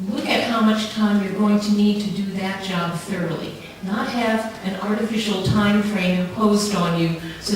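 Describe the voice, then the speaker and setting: Echoey, distant woman making a public address. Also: medium pitch. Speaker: campaigner addressing a council committee. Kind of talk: prepared public speech and answers to questions. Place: large town hall meeting room. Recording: very echoey hall, distant mic, clear background noise.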